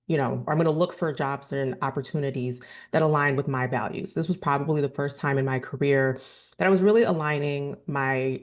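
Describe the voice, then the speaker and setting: A woman in her thirties with a deep register, earnestly telling a personal story to camera and often correcting herself mid-sentence. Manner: earnest, thoughtful, with frequent self-corrections and "you know". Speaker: vlogger.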